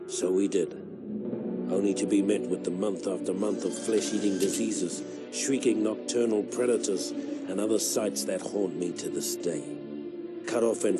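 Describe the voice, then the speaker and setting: An actor playing a world-weary veteran clone soldier, narrating his war memories in a measured, solemn way for a video game. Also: sits low, natural voice. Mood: somber, haunted. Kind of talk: scripted narration, military memoir.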